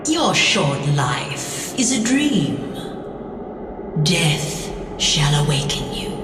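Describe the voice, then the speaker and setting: Slow, echoing, haughty queen of the dead. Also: deep voice, heavily acted. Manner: slow, haughty, formal menace. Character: cold, imperious Queen of the Netherworld, judge of dead souls. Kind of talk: scripted fantasy video game acting. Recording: echoing, distant voice under loud music.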